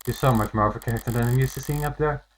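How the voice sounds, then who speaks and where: A man's voice: medium pitch, rapid-fire, slight background noise, natural voice. A man voicing a sly, calculating lawyer in a courtroom game, with a dry, controlled delivery. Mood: scheming.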